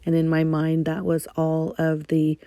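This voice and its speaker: Brief: middle-aged woman, crafting voice-over, rambling, slightly gravelly, dry close sound. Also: low voice, completely flat delivery, measured pace, mellow, no room sound. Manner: rambling, chatty, many ums. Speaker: scrapbooking channel host.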